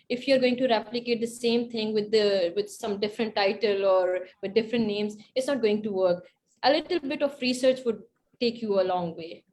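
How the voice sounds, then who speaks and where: A woman's voice: fairly high pitch, a little mellow. A woman speaking as a panelist, sharing advice and personal experience.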